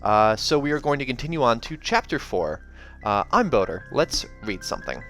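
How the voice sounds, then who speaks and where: A man's voice: mid-range voice, big pitch swings, moderate pace, a bit bright. A man hosting a read-aloud, narrating a fantasy novel and dropping in casual asides. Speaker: host reading a novel aloud. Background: background music.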